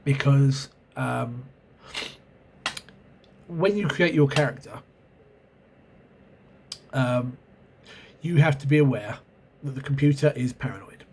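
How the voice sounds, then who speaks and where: A man's voice: mid-range voice, slow pace, pauses often, darkish tone, a little hiss or hum. A man with a cold and sore throat hosting his own video, rambling and hesitant as he recalls an old role-playing game, recorded on a camera mic at home. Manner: rambling, hesitant, many ums and self-corrections. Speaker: channel host reviewing role-playing games. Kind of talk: casual spoken video review.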